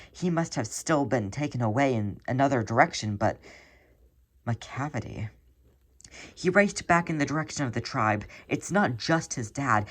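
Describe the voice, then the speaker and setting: Expressive fan fiction narrator, mid-pitched voice. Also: husky. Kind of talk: scripted narration of a story.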